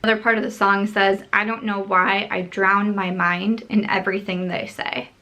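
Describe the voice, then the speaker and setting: A woman's voice: mid-range voice, flat delivery, moderate pace, sharp tone, low-quality recording. A young woman in a casual get-ready-with-me vlog, talking in a candid, earnest and emotional way. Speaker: beauty vlogger.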